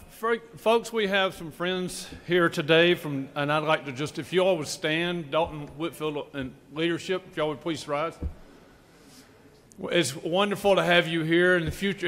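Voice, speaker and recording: A man's voice: on the high side, fairly bright tone. A man, a state senator informally introducing visitors on the chamber floor. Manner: halting, informal, with fillers. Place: large legislative chamber. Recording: long hall reverb in chamber.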